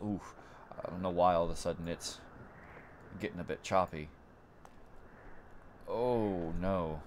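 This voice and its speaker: Male let's-play host, very low voice, stuffy nose, rambling chatter, faint noise behind. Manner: rambling, repeats words as he thinks. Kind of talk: casual gameplay commentary.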